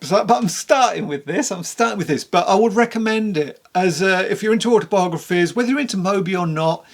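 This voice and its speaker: Creaky-voiced, candid book reviewer. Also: mid-range voice, a little fast. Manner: candid, rambling, conversational. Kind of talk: casual spoken review to camera.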